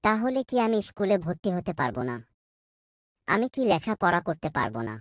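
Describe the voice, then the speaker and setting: A woman's voice: lowish voice, big pitch swings, fairly quick, no room sound, clean studio sound. A woman voicing a polite, earnest young schoolboy in a cartoon. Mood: anxious. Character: polite, eager young schoolboy from poor family. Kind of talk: cartoon dialogue.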